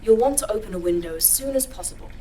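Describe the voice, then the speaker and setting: A woman playing a literal-minded, obliging artificial intelligence in an audio drama, formal and measured. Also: medium pitch, lively intonation, dark tone, slight background noise, lightly acted. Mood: composed, precise. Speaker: voice actor in a fiction podcast.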